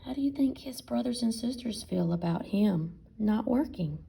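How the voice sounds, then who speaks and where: Animated, husky woman reading a picture book. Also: a little high. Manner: expressive, pausing to question and explain. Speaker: read-aloud teacher. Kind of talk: picture-book read-aloud with questions to young listeners.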